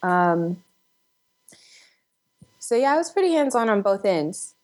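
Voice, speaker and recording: A woman's voice: medium pitch, big pitch swings, takes it slowly, a little mellow. A woman chatting casually and upbeat as an interview guest, talking like someone who works in film. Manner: upbeat, chuckles while talking.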